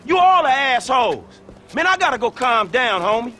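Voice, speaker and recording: An actor playing a frustrated, streetwise young robber in a video game, slangy, exasperated and profane. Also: male voice, very high-pitched, sing-song, moderate pace, pushed and bright, slight background noise, exaggerated voice. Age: young adult. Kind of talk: video game cutscene acting.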